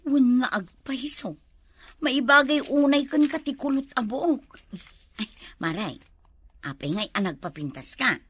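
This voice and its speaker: Woman acting high-voiced Ilocano village wife. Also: animated, measured pace, pauses often, slightly rough, heavily acted. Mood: curious, surprised. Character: plain-spoken married village woman longing to be pretty. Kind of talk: Ilocano barangay comedy skit acting.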